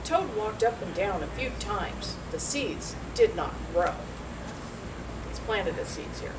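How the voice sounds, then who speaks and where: Female amateur storyteller for young children, expressive and deliberate, lively intonation, mic at a distance with clear noise. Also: medium pitch. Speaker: school staff member reading a story. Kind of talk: read-aloud children's storytelling.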